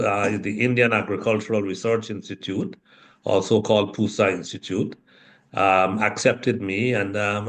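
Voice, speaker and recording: Older guyana-born man, interview guest, slow thoughtful talk, lots of ums. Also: on the low side. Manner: measured, thoughtful, with many pauses and fillers.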